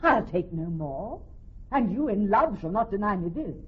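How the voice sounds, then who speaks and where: A woman's voice: medium pitch, big pitch swings, moderate pace, slightly rough. An actress playing a composed, witty woman posing as a young male lawyer, in formal, articulate Shakespearean radio drama style. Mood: playfully insistent. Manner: formal, articulate verse delivery. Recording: dull muffled old radio recording, faint music.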